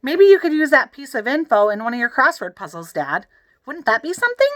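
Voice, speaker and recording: A woman voicing an excitable, wisecracking schoolboy in a children's story, emphatic and dramatic, eager to impress. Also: high voice, fairly quick, dry recording, clean studio sound, pitched above the performer's own voice.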